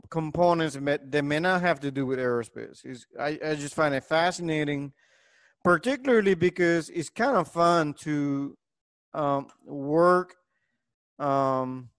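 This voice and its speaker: A middle-aged man from Puerto Rico, a native Spanish speaker with a Puerto Rican accent, lecturing on engineering in a halting way with frequent pauses. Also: a little high, smooth, no echo, studio quality.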